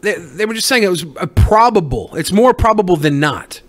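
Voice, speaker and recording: A man's voice: sits high, expressive, fairly quick. A man co-hosting a podcast, chatting casually with crude joking. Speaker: podcast co-host.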